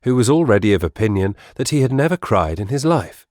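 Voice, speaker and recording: Man, audiobook narrator, scripted storytelling, fairly deep voice, dry acoustic. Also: big pitch swings, brisk, darkish tone, dry recording.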